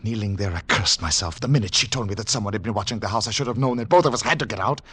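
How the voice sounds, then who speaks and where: An actor playing a haunted secret agent on the run, narrating in dramatic, deliberate, clipped phrases in 1940s radio thriller style. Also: male voice, fairly low pitch, fairly bright tone, breathy edge. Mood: bitter, shaken. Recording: noisy 1940s radio recording with clicks.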